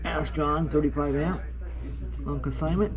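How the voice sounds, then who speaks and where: A man's voice: fairly high pitch, fairly even intonation, measured pace. An American man giving an informal, opinionated walkthrough of radio gear and advising listeners what to buy. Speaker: vlogger showing radio gear in a store. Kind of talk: informal off-the-cuff product walkthrough. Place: inside a busy radio store. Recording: muffled audio with busy store chatter. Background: other people talking.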